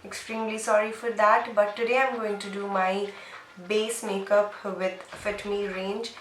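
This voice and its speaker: A woman's voice: medium pitch, little pitch movement, a little slow, slight background noise. A young woman with a nasal voice from a cold, chatting through a makeup tutorial step by step. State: sick with a cold. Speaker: beauty vlogger. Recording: echoey room, faint music.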